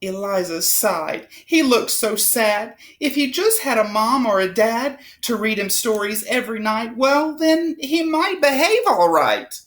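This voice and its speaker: A woman reading a rhyming picture book aloud to children with expressive, dramatic storytelling. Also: a little high, a little slow, hardly any pauses. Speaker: storybook reader.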